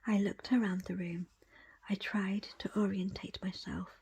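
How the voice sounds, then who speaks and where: A woman reading a book aloud as ASMR, in a breathy, unvoiced whisper with a slow, hushed storytelling delivery. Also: medium pitch, level tone. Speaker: audiobook reader.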